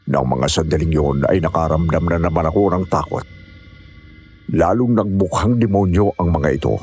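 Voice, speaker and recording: A man's voice: deep voice, darkish tone. A man narrating a scripted first-person horror story.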